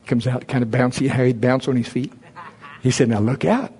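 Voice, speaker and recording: A middle-aged man giving a folksy, self-deprecating testimony as a preacher, telling personal stories in an animated way. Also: medium pitch, a little fast. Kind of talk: sermon, personal storytelling.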